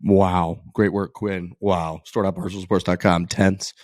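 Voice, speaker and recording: Low-voiced podcast host, dry sound. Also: male voice, little pitch movement, a little slow, no room sound. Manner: loose and chatty, piling up repeats. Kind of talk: casual chat and ad read.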